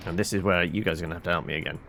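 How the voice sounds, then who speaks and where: A man's voice: low voice, fairly even intonation, racing. A man giving casual live game commentary, thinking aloud in a hesitant, muttering way over audible noise. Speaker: game streamer.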